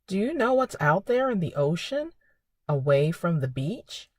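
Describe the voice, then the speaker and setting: A woman hosting a preschool storytime, speaking in simple, expressive phrases for young children. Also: lowish voice, unhurried, no echo, clean studio sound. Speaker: children's librarian and storytime host. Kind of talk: preschool storytime reading and narration.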